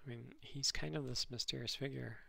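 A man with a nasal voice, hosting his channel and replying to comments in a halting, dryly sarcastic way, full of ums and restarts. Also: mid-range voice, fairly even intonation, vocal fry, bright, forceful tone, no echo. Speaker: channel host responding to comments. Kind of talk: casual commentary reading comments aloud.

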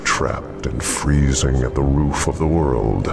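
An actor voicing a menacing, gloating undead servant of a dark lord in a fantasy game, with a cavernous voice and grandiose manner. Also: male voice, very deep voice, full character voice. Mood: gloating menace. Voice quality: gravelly, cavernous. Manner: slow, grandiose, menacing pronouncements. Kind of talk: fantasy video game cutscene acting. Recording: game music behind the voice.

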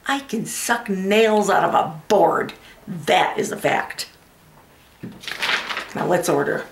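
Brash, wounded middle-aged wife, played by an actress, volatile and melodramatic stage monologue, roomy echo. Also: female voice, lowish voice, takes it slowly, gravelly, noisy background. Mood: defiant, brash. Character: brash, wounded middle-aged wife, betrayed after twenty-five years. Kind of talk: comic dramatic audition monologue. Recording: echoey room.

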